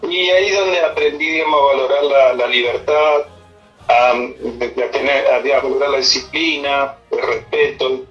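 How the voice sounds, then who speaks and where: Argentine guy, middle-aged army officer on a phone call, talks in halting bits, music playing behind him. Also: medium pitch, a bit bright. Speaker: army senior non-commissioned officer being interviewed. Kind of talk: informal interview answers, personal recollection. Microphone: telephone.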